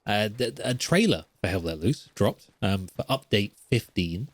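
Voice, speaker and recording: A man's voice: on the low side, a little slow, dry recording. Emphatic, rambling man hosting a podcast. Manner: rambling, animated and opinionated, with emphatic repetition. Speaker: podcast host. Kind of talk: casual solo gaming commentary.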